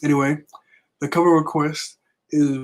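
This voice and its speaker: A young man with a raspy voice left over from being sick, casually recapping a manga chapter with lots of fillers. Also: fairly high pitch, little pitch movement, moderate pace. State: raspy voice recovering from illness. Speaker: manga review vlogger. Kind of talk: casual chat.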